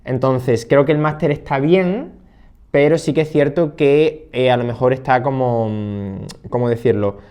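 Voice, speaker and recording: A man's voice: medium pitch, a little slow, warm tone, clean tone. A young man in his twenties, a student of interpreting, chatting casually and hesitantly with many fillers and restarts. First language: Spanish. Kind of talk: casual conversational chat.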